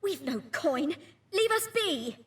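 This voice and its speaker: An actress playing a wary, broke street performer in a video game. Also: female voice, extremely high pitch, sing-song, slow, a bit bright, heavily acted. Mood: defensive.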